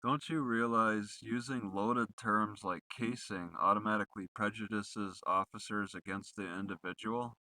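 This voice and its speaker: A man's voice: fairly low pitch, steady tone, normal speed, few pauses, sharp tone, no echo. A man putting accusatory, leading questions in the style of a rehearsed cross-examination.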